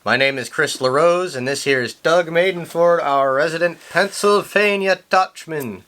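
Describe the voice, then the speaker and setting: Man, casual host, playful deadpan storyteller, bright voice. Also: fairly high pitch, normal speed. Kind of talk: casual chat.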